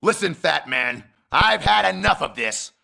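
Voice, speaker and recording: A man playing a hot-tempered wrestling challenger in a video game, gravelly and confrontational, with emphatic phrasing. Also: high-pitched, animated, measured pace, pushed and bright, heavily acted. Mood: angry, defiant. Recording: noisy game capture.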